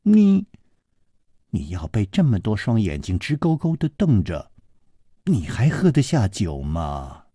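A man voicing a charming, gracious young woman who speaks gently and teasingly. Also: lowish voice, wildly swooping pitch, unhurried, breathy edge, no room sound, studio quality, exaggerated voice. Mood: playful.

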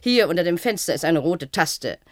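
An actress playing a strict, cold matron in a German radio play, giving orders in a brisk, condescending, authoritative manner. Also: female voice, on the low side, animated, lightly acted. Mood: brisk, instructing. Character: strict, cold institutional matron with a callous streak. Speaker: head matron instructing a new attendant.

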